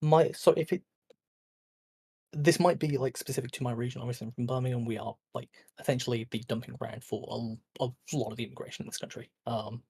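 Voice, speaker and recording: Sleepy guy on a call, raspy kinda fry-y voice, lots of ums and likes. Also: mid-range voice, steady tone, quick pace, darkish tone. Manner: hesitant, filler-heavy, restarts often. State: tired. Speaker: guest. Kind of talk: casual debate chat.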